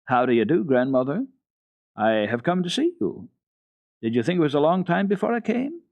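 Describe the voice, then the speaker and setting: A man voicing an eager, excited little girl in a storybook reading for old radio, high and insistent. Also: normal speed, vocal fry, no echo. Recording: dull lo-fi old radio recording.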